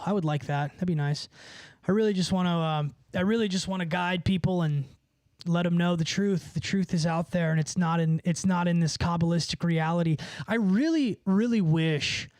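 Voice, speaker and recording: A man's voice: sits high, a little mellow, dry recording. A man livestreaming, chatting casually with viewers in a rambling, profane, slangy way. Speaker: livestreamer and musician.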